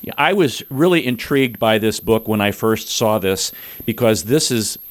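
A male radio host with a slightly low voice, upbeat as he reads scripted intros. He moves from those into easy, friendly questions for his guest. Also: animated, normal speed. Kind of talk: radio talk show hosting.

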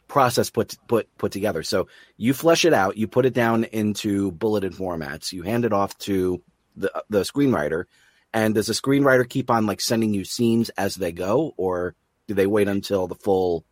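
A man's voice: lowish voice, moderate pace, no room sound, clean studio sound. A man hosting a casual interview, informal in his talk and rephrasing his questions as he goes. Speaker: podcast host, interviewer.

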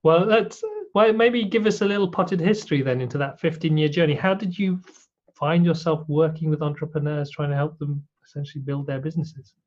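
A man's voice: fairly high pitch, a little fast, highs cut off. A man hosting a podcast, asking his guest curious follow-up questions. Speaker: podcast host. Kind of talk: interview questions.

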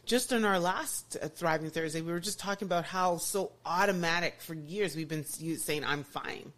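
A woman's voice: lowish voice, moderate pace, a bit bright. A woman hosting a podcast, talking enthusiastically in casual chat with many restarts and "you know"s. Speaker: podcast host.

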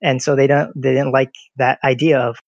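A man from the United States, a podcast guest telling stories in a relaxed way, with lots of "like" fillers. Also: medium pitch, a little fast, vocal fry, no echo. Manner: relaxed storyteller, many "like" fillers. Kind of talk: casual conversation. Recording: dry, dull lo-fi audio.

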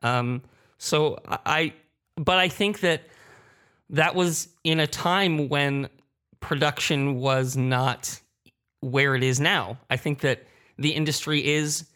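A man's voice: a little high, fairly bright tone, smooth. A man speaking candidly in a casual roundtable discussion, thinking aloud and correcting himself as a creator on the panel. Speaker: audio drama creator and sound designer, roundtable panelist.

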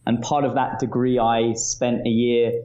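British guy in his 30s on a call, soft dark voice, bit muffled and lo-fi. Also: mid-range voice, level tone, a little slow. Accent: British English. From northwest London, England. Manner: repeats words at phrase starts, many fillers. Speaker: startup founder, guest. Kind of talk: casual interview answers. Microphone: video call from home.

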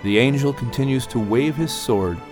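A man narrating an audiobook with dramatic, expressive storytelling. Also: mid-range voice, normal speed, over music.

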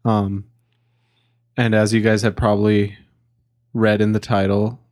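A man's voice: fairly low pitch, flat delivery, measured pace, darkish tone, no room sound, clean studio sound. A young man in his early twenties co-hosting a casual podcast, a little drunk, rambling with fillers and restarts. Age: young adult. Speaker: podcast co-host.

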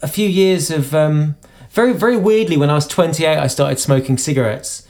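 Halting high-voiced British man. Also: a little mellow. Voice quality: self-described high voice. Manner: halting, many restarts and repeated words. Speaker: singer-songwriter guest. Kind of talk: conversational interview.

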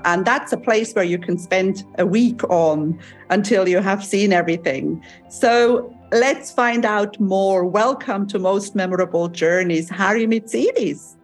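A woman from Switzerland who hosts a travel interview podcast, chatty and quick to laugh. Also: mid-range voice. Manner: chatty, laughs often, digresses. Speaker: podcast host. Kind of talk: casual interview chat. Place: home in Cyprus.

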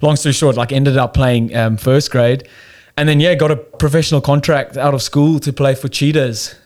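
Young South African guy, candid, chatty podcast guest, middle pitch. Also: steady tone, moderate pace, darkish tone. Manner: candid, swears, restarts a lot. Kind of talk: casual chat.

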